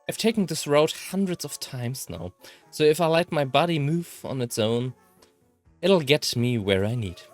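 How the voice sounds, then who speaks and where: A man with a German accent reads a visual novel aloud. His mid-pitched voice moves up and down in a lively, expressive way, with game music playing behind him. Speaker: let's player reading a visual novel aloud. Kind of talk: expressive read-aloud of first-person game text.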